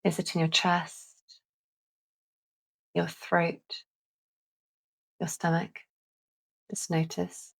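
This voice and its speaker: A woman leading a calming guided visualisation in a gentle, deliberate manner with long pauses. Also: on the low side, vocal fry, poor audio. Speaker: guided meditation host.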